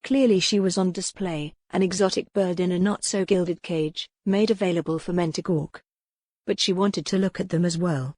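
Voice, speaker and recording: A woman's voice: on the low side, fairly even intonation, moderate pace, a little mellow, no room sound, studio quality. A woman reading historical romance fiction as a scripted audiobook narration.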